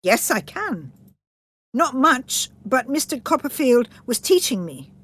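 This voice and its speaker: A woman's voice: high-pitched, very animated, slow pace, a bit bright, full character voice. A woman voicing a timid young widowed mother in a storybook, hesitant, polite and deferential.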